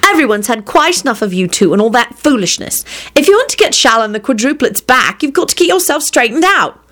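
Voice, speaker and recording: A woman's voice: a little high, big pitch swings, normal speed, a bit bright, pitched above the performer's own voice. A woman voicing an exasperated, bossy young woman who scolds her twin brother in an emphatic, snapping way. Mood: bossy, irritated.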